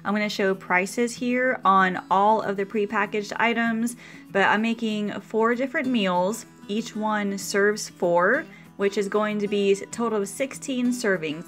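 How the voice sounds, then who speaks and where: A woman talks through her meal prep in a relaxed, practical voice-over, pitched in the middle of the range. Soft music plays faintly under her voice. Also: a little slow. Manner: chatty, practical, explaining step by step. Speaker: meal prep video host. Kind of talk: casual cooking voice-over.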